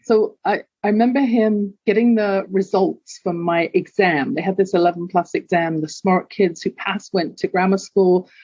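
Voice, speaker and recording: A woman's voice: medium pitch, steady tone, moderate pace, hardly any pauses, a little mellow, dry recording. Brief: older English woman with a London accent, reflective guest storyteller, dull dry remote line. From England. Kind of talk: candid personal storytelling.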